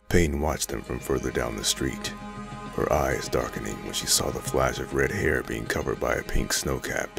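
A man's voice: very deep voice, vocal fry. A man reading scripted narration for an audio drama. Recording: music under the narration. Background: loud background music.

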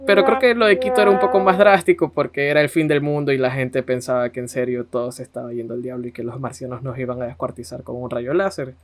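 Man, medium pitch, conversational storytelling, podcast co-host. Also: steady tone, quick pace, hardly any pauses, soft background music.

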